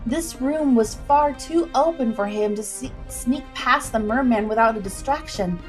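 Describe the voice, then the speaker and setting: A woman with a fairly high voice narrates a story dramatically. She reads expressively, building suspense as a storyteller would. Light music plays underneath. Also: measured pace, low-quality recording. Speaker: storyteller, livestream host. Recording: music behind the voice.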